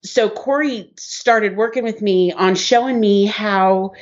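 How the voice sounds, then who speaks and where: A woman's voice: mid-range voice, slow, highs cut off. A woman co-hosting a podcast in casual chat, rambling along with frequent ums. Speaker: podcast co-host.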